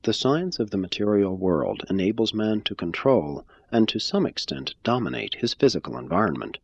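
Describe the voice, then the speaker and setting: Husky low-voiced man reading aloud. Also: sing-song, moderate pace, no room sound, muffled recording. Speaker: reader. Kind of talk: scripted reading of a religious text.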